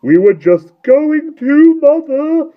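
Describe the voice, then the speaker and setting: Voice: sits high, sing-song, takes it slowly, phone-quality sound, pitched above the performer's own voice, exaggerated voice. A reader voicing a huge, meek grown daughter who speaks timidly and pleadingly, in a storybook reading. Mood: frightened.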